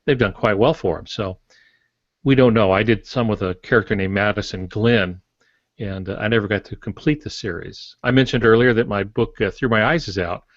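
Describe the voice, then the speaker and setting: An older man, a novelist, talking casually on a writing podcast in a halting, matter-of-fact, anecdotal way. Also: on the low side, no room sound. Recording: dull lo-fi podcast audio.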